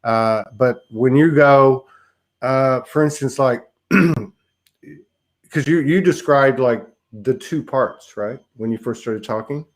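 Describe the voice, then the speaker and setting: Male host, rambling and musing, repeats himself, mid pitch, spiritual talk show. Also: slow. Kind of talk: spiritual conversation.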